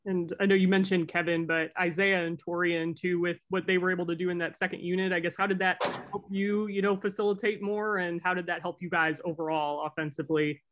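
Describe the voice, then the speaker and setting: A man's voice: sits high, steady tone, brisk, hardly any pauses, fairly bright tone, dull audio. A man working as a reporter, asking rambling questions with fillers at a press conference. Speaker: sports reporter.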